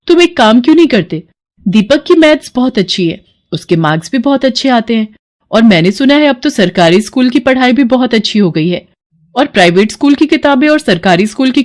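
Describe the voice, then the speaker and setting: A woman playing a concerned, gently advising mother in a children's moral story. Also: on the high side, animated, a little fast, voice pushed up, lightly acted.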